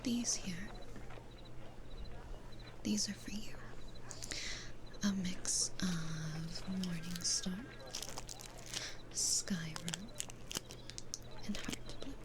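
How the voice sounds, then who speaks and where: A woman playing a gentle, patient, kindly demon florist in an ASMR roleplay, in a soft breathy whisper with a soothing, nurturing manner. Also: on the low side, noisy background. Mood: tender, encouraging. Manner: slow, soothing, nurturing, unhurried.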